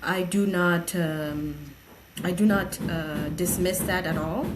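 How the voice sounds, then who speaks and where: A young woman from Tanzania answering interview questions, measured and pausing often with fillers. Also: lowish voice, fairly even intonation, very noisy. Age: young adult. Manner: measured, hesitant, many filler pauses. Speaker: guest, gender equality advocate.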